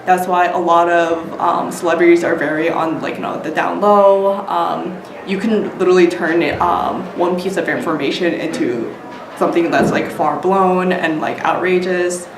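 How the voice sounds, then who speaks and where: A woman's voice: on the low side, steady tone, normal speed. A woman with an American accent answering interview questions casually, peppering her speech with "like" and "you know". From United States. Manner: frequent "like" and "you know", informal. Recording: noisy, echoey room.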